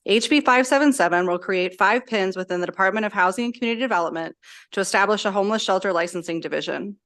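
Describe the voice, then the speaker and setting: A woman's voice: medium pitch, fast, a bit bright. A woman legislator giving earnest, formal prepared testimony at a committee hearing, speaking into a desk microphone. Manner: earnest, reads steadily from prepared remarks.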